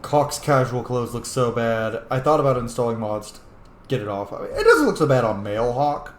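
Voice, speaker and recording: Dude doing laid-back game commentary, mid voice, roomy echo, bit of music behind. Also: male voice, medium pitch, very animated, brisk. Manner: chatty, reacts aloud, mild swearing. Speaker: gaming video host. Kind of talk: casual video game commentary.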